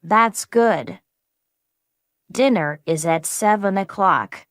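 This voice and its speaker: A woman playing a friendly everyday speaker in a beginner English lesson dialogue. Her voice is mid-pitched, and she takes each sentence slowly. The recording is close and dry. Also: lively intonation, no echo. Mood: plain. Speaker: dialogue partner in English practice. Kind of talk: slow scripted practice dialogue.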